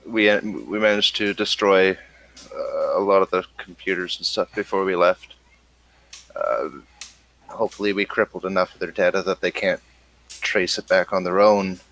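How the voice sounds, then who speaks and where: A man playing an anxious, shaken ship navigator in a tabletop role-play, speaking haltingly and stammering. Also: low voice, steady tone, gravelly, a bit bright, rough recording. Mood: guarded, uneasy. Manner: hesitant, stammering.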